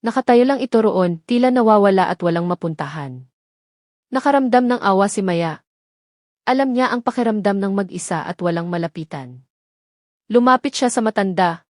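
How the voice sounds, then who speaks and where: A woman's voice: mid-range voice, lively intonation, moderate pace, pauses often, a little mellow, dry recording, clean studio sound. A woman reading a scripted dramatic story as its narrator.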